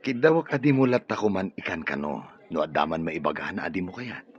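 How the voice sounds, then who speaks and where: An actor playing a devoted, trusting young boyfriend in a radio drama, tender and eager to please. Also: male voice, low-pitched, expressive, a little fast, muffled recording. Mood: tender, earnest. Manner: tender, earnest.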